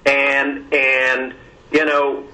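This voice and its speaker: A man speaking as a board member in a conference call discussion, halting and repeating words, often tagging phrases with "okay". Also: mid-range voice, monotone, crowd noise. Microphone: telephone. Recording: distant conference call line.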